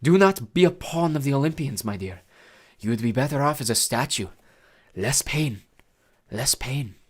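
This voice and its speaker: A man's voice: medium pitch, animated, moderate pace, breathy edge. A man voicing an old female monster in a storybook, coaxing and crooning with a menacing edge that can turn to a snarl. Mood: coaxing, bitter.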